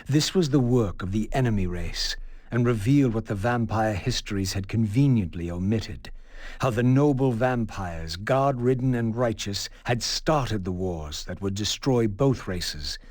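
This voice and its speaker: An actor playing a brooding undead antihero in a video game, formal and measured, with a husky voice. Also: male voice, on the low side, no echo, clean studio sound. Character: brooding undead male antihero recounting his quest. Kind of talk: dramatic scripted game narration.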